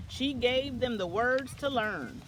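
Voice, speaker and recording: Woman reading storybook over clear background noise. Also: fairly high pitch, lively intonation, takes it slowly. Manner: stumbles and self-corrects while reading. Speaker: story time reader. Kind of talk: reading a children's picture book aloud.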